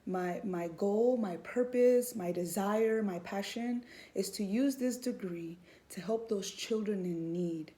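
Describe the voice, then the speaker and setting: A woman's voice: medium pitch, slow, hardly any pauses, warm tone. A woman in her thirties from Houston, Texas, giving an earnest personal faith testimony, hesitant with many ums. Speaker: student and mother.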